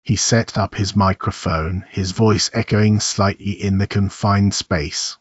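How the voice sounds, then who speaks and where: Very deep-voiced man narrating horror. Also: measured pace. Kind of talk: scripted horror story narration. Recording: muffled, roomy echo.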